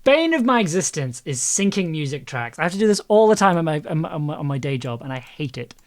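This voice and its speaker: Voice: medium pitch, sing-song, fast. A livestream host chatting casually while editing audio, rambling and often repeating words.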